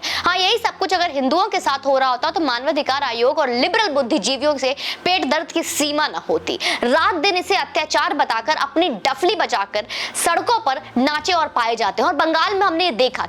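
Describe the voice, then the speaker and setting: A woman hosts an opinion video in a high-pitched voice. She speaks in an indignant, sarcastic way, pressing her points with rhetorical questions. There is some background noise on the recording. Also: fairly bright tone. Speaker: host of a political opinion video channel. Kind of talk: opinionated political commentary to camera.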